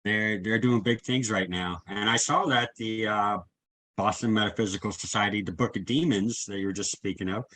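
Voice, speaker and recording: A man interviews a guest over a video call. His voice is slightly low in pitch, and he speaks in a casual, conversational host style. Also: moderate pace, bright, forceful tone, low-quality recording.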